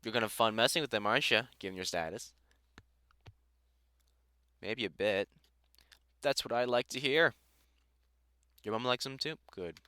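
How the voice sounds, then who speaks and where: A man playing a gruff older vampire hunter in a roleplay audio drama, a fond, teasing mentor with dry sarcasm who grows halting when sentimental. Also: medium pitch, sharp tone, dry recording, close to an everyday voice. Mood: warm teasing.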